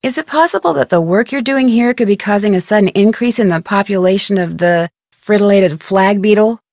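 A woman playing an inquisitive, polite and persistent young American amateur detective, speaking scripted adventure game dialogue in an earnest, expressive way. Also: medium pitch, a little fast, no room sound, clean studio sound, close to an everyday voice. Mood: earnest, probing. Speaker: video game voice actress.

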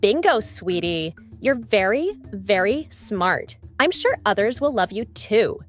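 Sweet theatrical cartoon joy sprite, medium pitch, very lively, acted by a woman. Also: takes it slowly, faint music behind. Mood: sweet, reassuring. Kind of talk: fan comic dub.

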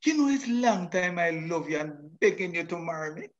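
Man acting an animated old Jamaican suitor. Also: on the high side, normal speed, dull audio, close to an everyday voice. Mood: coaxing. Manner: animated, coaxing. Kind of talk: radio drama acting.

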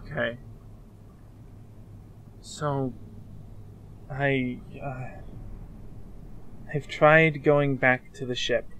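A man's voice: mid-range voice, little pitch movement, drawn-out words, long pauses, sharp tone, slight background noise, low-quality recording. A man playing an anxious, self-blaming young man in a fan dub drama, speaking earnestly and hesitantly. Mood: ashamed, confessing.

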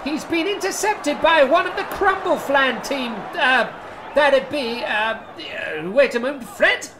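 A woman playing an excitable, scornful medieval match commentator with folksy turns of phrase, in a spoof of sports commentary. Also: sits high, measured pace, crowd noise, noisy background. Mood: excited.

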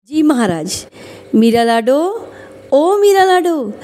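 A woman playing a gracious, hospitable but gently firm noble grandmother in a radio drama. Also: high-pitched, sing-song, slow, slight background noise, full character voice. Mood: welcoming.

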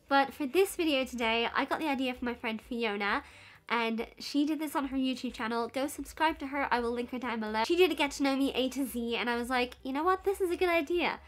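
A woman's voice: fairly high pitch, a bit bright. Twenties woman, Irish accent worn by London, casual vlogger, filler-heavy and giggly, seated at home. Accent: Irish, softened by years in London. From Ireland and Belgium. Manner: chatty, giggly, lots of fillers. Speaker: YouTuber. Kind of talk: casual sit-down vlog. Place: bedroom at home.

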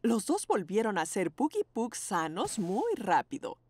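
A woman voicing a loving, patient pug mother in a cartoon dub, speaking softly. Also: medium pitch, wildly swooping pitch, measured pace, crowd noise. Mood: tender. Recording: studio dub with music.

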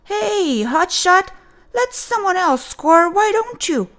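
Woman as a shrill, indignant young boy mackerel. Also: very animated, slow, fairly bright tone, exaggerated voice. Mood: annoyed. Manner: scolding, emphatic. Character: young male mackerel, frank, indignant best friend. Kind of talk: children's storybook character voice.

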